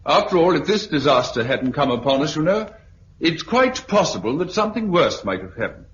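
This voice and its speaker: A man's voice: on the high side, sing-song, fairly quick, a bit bright. An actor with a British accent playing a hearty, courteous committee chairman rallying survivors in 1950s radio drama style. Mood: earnest, rallying. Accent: British English. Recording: dull, hissy 1950s radio recording.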